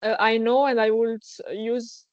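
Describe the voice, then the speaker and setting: French-accented young woman, slow and halting, keeps restarting, dry dull recording. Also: fairly high pitch, flat delivery, a little mellow, no room sound. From France. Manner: hesitant, searching for words, many false starts. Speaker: guest athlete. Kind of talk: casual interview.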